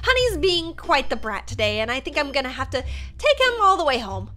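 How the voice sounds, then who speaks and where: A woman in her twenties hosting a gaming video, narrating a roleplay in an excitable, exaggerated way on a close mic. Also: sits high, a little fast. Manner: expressive, exaggerated. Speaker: gaming YouTuber, host. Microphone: close studio mic on boom arm.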